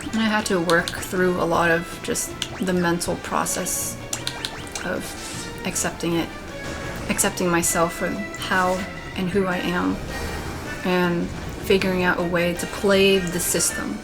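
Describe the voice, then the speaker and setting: Low, monotone woman musing while gaming. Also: unhurried, poor audio. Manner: thoughtful, rambling, candid. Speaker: let's play gamer. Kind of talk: casual commentary while gaming. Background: game music.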